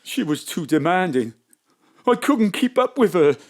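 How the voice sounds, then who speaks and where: A woman acting in an audio drama as rattled, excitable local men, theatrical and protesting. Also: lowish voice, measured pace. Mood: defensive. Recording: remote home-studio recording.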